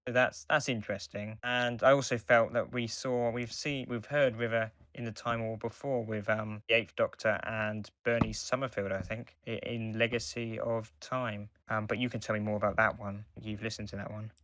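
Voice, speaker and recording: A man with a slightly low voice talks as a podcast co-host. His delivery is casual and conversational, and the recording is very dry, free of room sound. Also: fairly even intonation, moderate pace, few pauses, fairly bright tone, no room sound. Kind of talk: casual chat about audio dramas.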